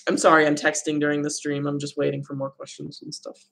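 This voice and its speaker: A young woman with a low voice, hosting a casual live Q&A stream from her room at home, chatty and rambling. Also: monotone, fast, vocal fry, a bit bright. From Arizona, USA. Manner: chatty, rambling, laughs often. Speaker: livestream host answering viewer questions.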